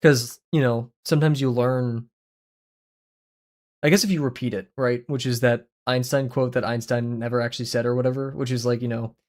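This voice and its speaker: A man's voice: medium pitch, steady tone, moderate pace, a little mellow. A young man streaming from a small home room as he draws, chatting in a rambling, casual way full of fillers and self-deprecating asides into a headset mic. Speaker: art streamer. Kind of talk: casual livestream chat while drawing. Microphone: headset with boom mic.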